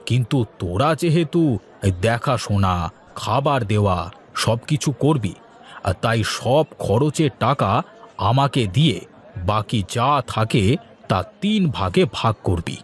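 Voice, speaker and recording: A man's voice: medium pitch, close to an everyday voice. A man playing a generous, practical middle-aged village shopkeeper in a moral-story cartoon, talking in a colloquial, avuncular way.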